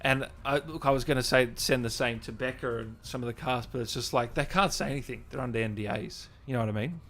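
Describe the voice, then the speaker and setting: A man's voice: mid-range voice, quick pace, fairly bright tone, a little hiss or hum. Casual, chummy male livestream host. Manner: chummy, informal, addressing viewers as guys and brother. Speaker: host of a gaming channel livestream. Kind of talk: casual livestream chat to viewers.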